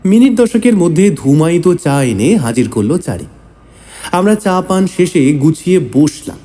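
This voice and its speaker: A man narrates a scripted audio story in a fairly high voice. His tone is mellow and his intonation rises and falls expressively. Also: lively intonation, moderate pace.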